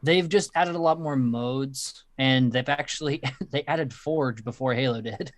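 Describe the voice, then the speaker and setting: Casting: young male co-host, mid pitch, relaxed and a bit halting, dry close mic. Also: no echo. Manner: easygoing and a little hesitant. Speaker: podcast co-host. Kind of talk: casual podcast chat.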